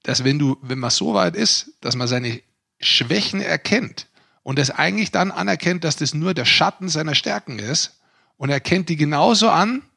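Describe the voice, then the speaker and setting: Higher-voiced man, earnest podcaster. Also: animated, moderate pace, gravelly, pushed and bright, breathy edge. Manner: earnest, philosophizing, searching for words. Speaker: podcast co-host. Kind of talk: casual reflective podcast talk.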